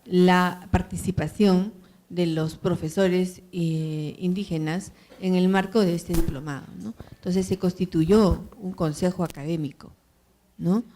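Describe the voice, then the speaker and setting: A woman's voice: on the low side, steady tone, a little slow, dark tone, a little hiss or hum. A woman answering questions on a panel, hesitant, with many fillers and tag questions. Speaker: panelist, university program organizer.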